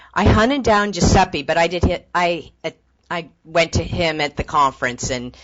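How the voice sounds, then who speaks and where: A woman organizing a tech meetup, introducing a guest speaker with an animated, enthusiastic manner. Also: lowish voice. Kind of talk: casual introduction. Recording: dull lo-fi audio.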